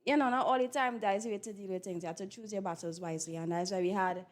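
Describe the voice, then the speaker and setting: A woman's voice: mid-range voice, fast talker. A woman with a Trinidadian accent talking casually and candidly as an actress being interviewed.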